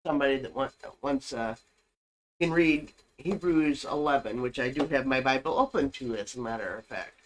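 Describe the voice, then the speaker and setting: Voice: mid-range voice, low-quality recording. Congested, earnest faith podcast co-host. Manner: earnest, reads scripture aloud. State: congested, recovering from illness. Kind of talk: casual religious chat podcast. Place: home room with pets.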